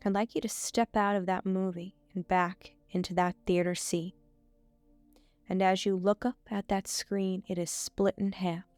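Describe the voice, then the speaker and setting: Female meditation guide: smooth, clear voice, unhurried and soothing, soft music behind. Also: mid-range voice, level tone, no room sound. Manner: soothing, measured delivery with frequent pauses. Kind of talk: scripted guided meditation, hypnotic suggestion.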